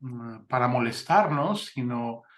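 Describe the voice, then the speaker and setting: A man's voice: medium pitch, animated, slow, echoey room. A man hosting an interview, affirming his guest and speaking with many fillers and restarts. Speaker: interviewer, mission ministry host. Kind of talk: conversational interview. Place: Spain.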